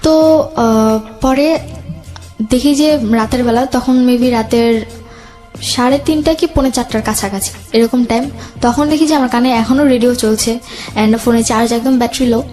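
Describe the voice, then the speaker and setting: A young woman recounting her own life as an interview guest, in a halting, hesitant way. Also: on the high side, warm tone. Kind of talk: personal storytelling in interview. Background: background music.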